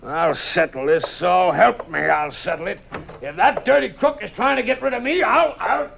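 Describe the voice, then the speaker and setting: Through the muffled hiss of an old 1950s radio recording comes a gruff, suspicious ship's captain. His voice is very gravelly and rides high, and he blusters angrily in old radio-drama style. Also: normal speed. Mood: grim, furious. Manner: blustering, indignant. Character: gruff, angry, suspicious male ship's captain mixed up in smuggling.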